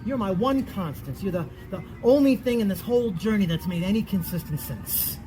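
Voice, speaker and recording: A man's voice: high voice, lively intonation, fairly quick, background noise. A man playing an anxious, cynical middle-aged husband in a comedic stage play, stammering and self-deprecating. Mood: sheepish. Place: theatre stage at a festival.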